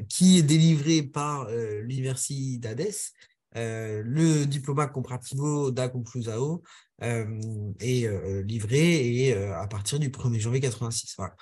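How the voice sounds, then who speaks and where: Halting, husky man giving a webinar. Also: medium pitch, darkish tone. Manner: halting, many filler sounds and restarts. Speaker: webinar presenter. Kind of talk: webinar presentation on regulations. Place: remote webinar, video call.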